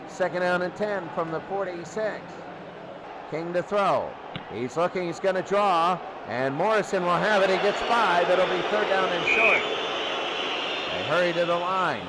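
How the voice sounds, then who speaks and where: A man calling football play-by-play in radio commentary style, excitable and repeating phrases for emphasis. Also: high voice. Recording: loud crowd and noise behind.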